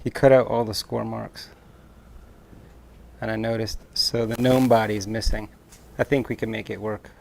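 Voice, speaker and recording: Give me a teasing man co-hosting a craft stream, his voice rough with fry, caught by a camera mic. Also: lowish voice, little pitch movement, normal speed, pauses often, slight background noise. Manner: teasing, playful. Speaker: co-host of a live craft stream. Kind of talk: casual joking chat while crafting. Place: indoor craft workshop.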